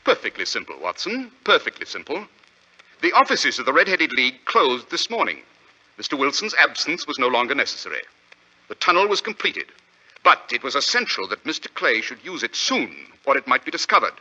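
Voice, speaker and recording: A man acting a brilliant, self-assured detective in a 1940s radio drama, explaining his deductions in a crisp, theatrical manner. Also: mid-range voice, wildly swooping pitch, a little fast, bright, forceful tone, full character voice. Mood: confident, explanatory. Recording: dull, lo-fi vintage radio recording.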